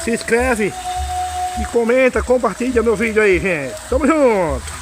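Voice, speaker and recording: A hoarse man, excitedly exclaiming as he films his farm outdoors in the rain on a phone. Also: very high voice, measured pace. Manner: excited, exclaiming and singing along to music. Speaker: vlogger filming his farm. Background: music playing.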